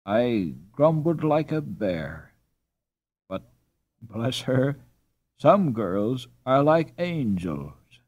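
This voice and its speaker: A man's voice: medium pitch, sing-song, drawn-out words, pauses often, darkish tone, highs cut off, exaggerated voice. Guy doing a poor dutch boy from a storybook, humble, polite, honest. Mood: tender. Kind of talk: storybook character reading.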